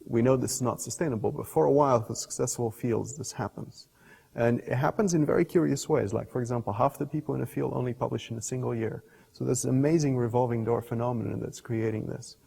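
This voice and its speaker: A middle-aged man, a scientist explaining ideas in an interview in a thoughtful, halting way, often rephrasing mid-sentence. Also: medium pitch, creaky, mellow, husky. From Portugal. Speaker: scientist and professor being interviewed. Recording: reverberant hall-like room echo.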